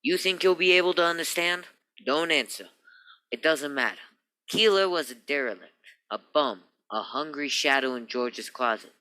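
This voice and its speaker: A man's voice: a little high, expressive, moderate pace, bright, forceful tone, low-quality recording. A man playing a smug, preening business partner in an old-time radio crime play, speaking in a pompous, flowery way. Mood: wounded dignity. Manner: pompous, flowery, mannered delivery.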